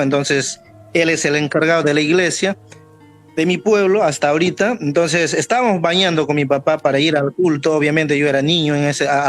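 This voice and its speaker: A man from the Peruvian Amazon, Wambisa his first language, speaks a little higher than most men. He tells his story carefully and haltingly, stopping to find his words. Faint music sits behind him. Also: fairly bright tone. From Amazonas, Peru. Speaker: guest. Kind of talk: personal storytelling. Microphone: video call.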